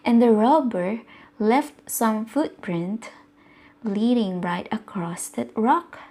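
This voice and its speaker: A startled boar frets over her stolen berries, as a woman puts her on in a bedtime story. The delivery is slow and somewhat high. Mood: shocked. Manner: slow, soft read-aloud delivery.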